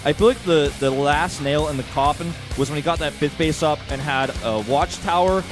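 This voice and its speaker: A young man casting a strategy game live, excitable and rising sharply when the fighting gets big. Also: a little high, normal speed. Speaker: esports caster. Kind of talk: play-by-play commentary. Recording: commentary over loud game music.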